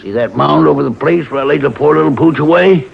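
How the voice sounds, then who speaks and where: Gravelly, blustering small-time crook. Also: male voice, a little high, fast talker. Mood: surly, defensive. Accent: American. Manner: rough slangy speech, stammering when pressed. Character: crooked, blustering male swindler, cornered and shifty. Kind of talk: 1950s radio crime drama acting. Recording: muffled, noisy old radio broadcast.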